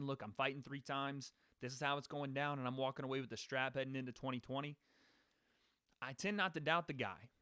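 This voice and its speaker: A man's voice: mid-range voice, sharp tone, dry recording. Male stream host, casual rambler, chats with his viewers, mid voice, dry sound. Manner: casual, rambling, talks to live chat. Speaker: podcast host. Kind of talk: live-stream sports predictions.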